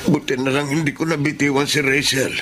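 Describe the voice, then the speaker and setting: A man's voice: on the high side, flat delivery, fairly quick, fairly bright tone, lightly acted. An actor playing an obliging, flustered elderly grandfather in a Filipino radio drama, hesitant and stammering, with a Filipino accent. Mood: drowsy, apologetic. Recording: noisy radio drama audio.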